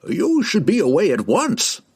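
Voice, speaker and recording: A man voicing a wise, learned old herald in a fantasy audiobook, explaining things in a knowing, deliberate way. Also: high-pitched, wildly swooping pitch, husky, pitched above the performer's own voice, full character voice. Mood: advising.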